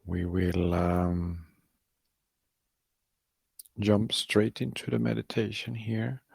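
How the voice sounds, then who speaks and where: A man guides a meditation in a low, creaky voice that barely rises or falls. He talks calmly in short, halting phrases, leaving long gaps between them. The delivery is soft and unhurried. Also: dry recording. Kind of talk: guided meditation, softly spoken instruction.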